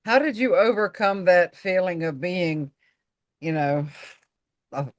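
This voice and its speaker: A woman's voice: on the low side, expressive, slow pace, dry recording. A woman hosting an interview, asking questions that she reshapes and restarts as she goes. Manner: searching, restarts mid-question. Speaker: host.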